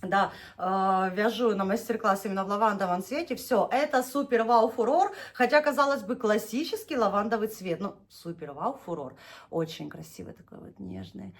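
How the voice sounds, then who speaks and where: A young woman talks through a yarn unboxing for her knitting channel in a relaxed, chatty way. Her voice sits at an ordinary middle pitch. She gushes, laughs easily and stretches out her words as she lingers over each shade. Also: normal speed, gravelly. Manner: chatty and gushing, laughs often, drawls when naming shades. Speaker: knitting vlogger showing yarn. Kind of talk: casual unboxing chat.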